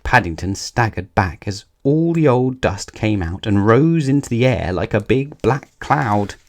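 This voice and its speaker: Low-voiced man narrating a children's story. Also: expressive, measured pace.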